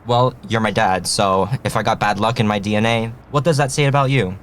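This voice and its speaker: A man portrays a cheeky, competitive son in an audio drama. His fairly low voice fires off casual, teasing comebacks, with faint noise in the background. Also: fairly quick, close to an everyday voice. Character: cheeky, competitive son ribbing his dad over cards.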